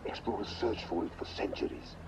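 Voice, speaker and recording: An actor playing a sly South African film villain under interrogation, heard through noisy replayed audio. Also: male voice, fairly quick, lo-fi sound, close to an everyday voice. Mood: taunting. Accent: South African, imitated. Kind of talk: film drama dialogue.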